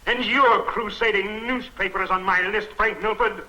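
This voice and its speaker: Casting: menacing serial villain, high piercing voice, deliberate commanding threats, vintage 1940s film sound. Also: male voice, fairly even intonation, sharp tone, rough recording. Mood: cold menace. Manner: slow, theatrical, commanding delivery. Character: menacing invisible criminal mastermind issuing threats to businessmen.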